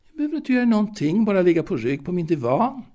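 A man's voice: sits high, big pitch swings, warm tone, voice pushed up. A man playing a seductive, genteel middle-aged widow in an audio drama monologue, with a coaxing manner. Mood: persuasive.

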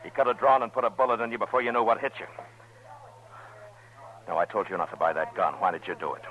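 An actor playing a stern, weary frontier marshal in 1950s radio western style, commanding and grave. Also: male voice, lowish voice, steady tone, quick pace, close to an everyday voice. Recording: dull vintage radio recording with music.

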